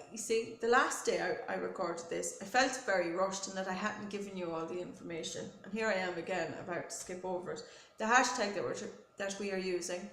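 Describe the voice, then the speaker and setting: A woman hosting a knitting podcast with a head cold, talking in a friendly, rambling show-and-tell way with many ums and self-corrections. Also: on the low side. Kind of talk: casual chatty show-and-tell. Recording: roomy echo with faint noise.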